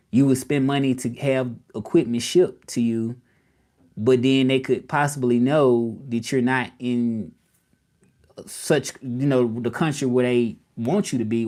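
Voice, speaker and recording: A man with a Southern drawl from Memphis talks casually to a live stream audience. He rambles, repeats himself and keeps turning questions back to the viewers at a mid-range pitch. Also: a little mellow. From Memphis, Tennessee, US South. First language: English.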